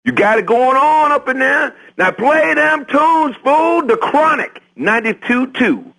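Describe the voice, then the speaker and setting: A man's voice: extremely high pitch, lively intonation, creaky, sharp tone, heavily acted, low-quality recording. A man playing a brash, hyped-up hip-hop fan calling a radio station, drawing out street slang. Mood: impressed. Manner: drawn-out, swooping street slang. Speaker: radio caller.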